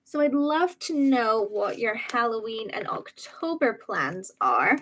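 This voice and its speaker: Young woman host, soft Edinburgh accent, slightly higher voice, rambling chatty livestream talk with fillers. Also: sing-song, slow, low-quality recording. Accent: soft Edinburgh Scottish, somewhat posh-sounding. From Edinburgh, Scotland. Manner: chatty, rambling, laughs easily, many "um"s and "like"s. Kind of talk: casual chat while crafting.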